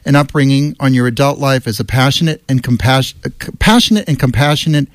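A man's voice: medium pitch, a little fast, dry recording. A man hosting a radio interview in a studio, stumbling and restarting as he introduces his guests. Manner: stumbling over names, warm welcomes. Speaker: radio host.

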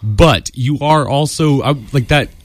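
A man with a slightly raspy voice hosting a casual sports radio show from a studio booth, rambling and upbeat with lots of fillers. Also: mid-range voice, a little slow, no room sound. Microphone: studio mic.

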